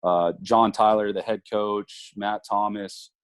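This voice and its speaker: A man's voice: on the low side, fairly even intonation, slow, no room sound, studio quality. A man in his thirties, a college baseball coach, talking earnestly in an interview with many fillers and restarts. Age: 30s. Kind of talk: conversational interview.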